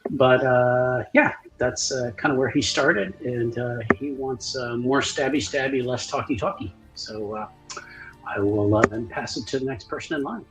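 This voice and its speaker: Male roleplay player, average pitch, stop-start casual chat under prominent loud music. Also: moderate pace, poor audio. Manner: hesitant, trailing, stop-start phrasing. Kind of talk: casual chat introducing a character.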